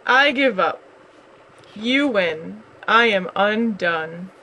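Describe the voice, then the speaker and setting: Grumpy storybook bear, read by a woman: gruff, curt orders, bright and loud. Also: a little high, lively intonation, measured pace, slight background noise, rough recording. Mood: defeated. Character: grumpy male bear who hates visitors.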